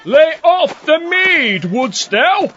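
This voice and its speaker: A man's voice: very high-pitched, sing-song, very slow, pushed and bright, full character voice. A man playing an eccentric, easily baffled medieval goat peddler in a comedic audio drama. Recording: dull, lo-fi audio.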